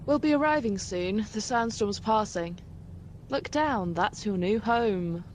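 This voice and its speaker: Woman as a cynical guard over faint rumble. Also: expressive, measured pace, natural voice. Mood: knowing, cool. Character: cynical, taunting prison-transport guard. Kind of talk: audio drama acting.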